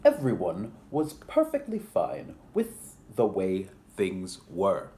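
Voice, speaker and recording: A man's voice: sits low, wildly swooping pitch, takes it slowly, warm tone, slight background noise. A man hosting a children's picture book read-aloud, speaking with exaggerated, theatrical politeness and chatty asides. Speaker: library storyteller and host.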